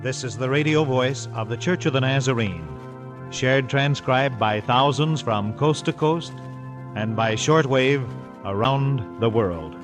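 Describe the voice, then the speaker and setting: A man's voice: mid-range voice, measured pace, over music. A man announcing a religious radio programme in a scripted introduction.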